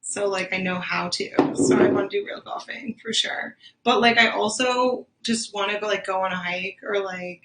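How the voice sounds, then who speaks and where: Young woman guest, raspy, relaxed chatter, roomy echoey sound. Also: mid-range voice, moderate pace, hardly any pauses, heavy background noise. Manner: laid-back, says like a lot. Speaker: co-host guest. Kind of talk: casual chat. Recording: roomy echo.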